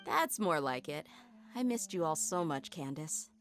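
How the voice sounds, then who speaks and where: A woman's voice: sits low, lively intonation, a little slow. A woman voicing a brash, hot-tempered young female mercenary in a video game, with a breezy, cocky manner. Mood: fond. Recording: music behind the voice.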